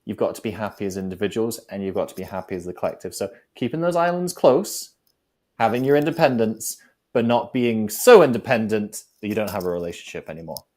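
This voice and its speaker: A man's voice: on the low side, fast, a little mellow. A man, a guest on a talk show, reflectively giving advice and building his points with analogies. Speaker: guest speaker.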